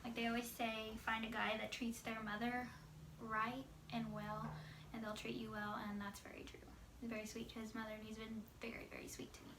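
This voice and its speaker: A woman's voice: on the high side, monotone, fairly quick. A woman in her twenties chatting informally as a wife answering questions alongside her husband. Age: 20s. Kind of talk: casual couple Q&A chat. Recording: echoey room, distant mic, background noise.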